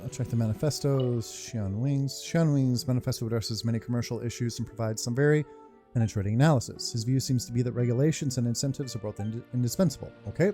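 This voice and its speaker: A man gives casual playthrough commentary as a gaming host. His voice is mid-pitched, mellow and laid-back, with faint music behind. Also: quick pace. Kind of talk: casual playthrough commentary, reading on-screen text.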